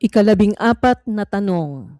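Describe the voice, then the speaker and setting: A Filipino woman narrating a scripted read-along Bible quiz in a measured, deliberate way with pauses between phrases. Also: mid-range voice, animated, warm tone, no room sound, clean studio sound.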